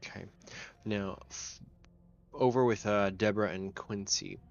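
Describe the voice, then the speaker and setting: A man narrating a tabletop game as game master in a halting, informal way, with muffled sound. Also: fairly low pitch, vocal fry, slight background noise. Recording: muffled audio over background music. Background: music.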